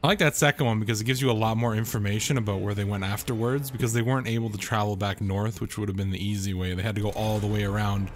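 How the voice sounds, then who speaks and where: A man's voice: fairly low pitch, fairly even intonation, fast talker, hardly any pauses. A man giving casual voice-over commentary as the host of a video.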